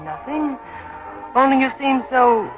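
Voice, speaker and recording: An actress playing a tycoon's cool, flirtatious secretary in a 1940s radio drama, arch and self-possessed. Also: female voice, high voice, expressive, a little slow, over music. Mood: guarded. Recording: dull lo-fi 1940s radio broadcast.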